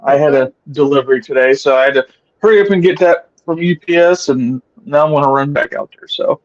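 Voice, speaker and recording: Higher-pitched man, halting and crude. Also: no room sound, highs cut off. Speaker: guest on a talk podcast. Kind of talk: casual chat.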